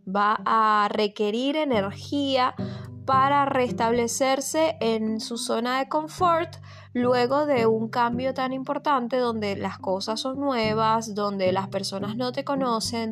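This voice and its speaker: Venezuelan woman, higher voice, guitar music behind. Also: takes it slowly. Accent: Venezuelan Spanish. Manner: reflective, many fillers and repeated words. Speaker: vlogger. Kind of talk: personal storytelling.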